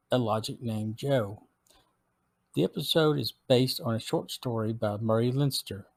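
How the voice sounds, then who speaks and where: A man hosting a podcast, reading a scripted episode review in a measured way. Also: medium pitch, no room sound. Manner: measured, read-aloud delivery. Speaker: podcast host.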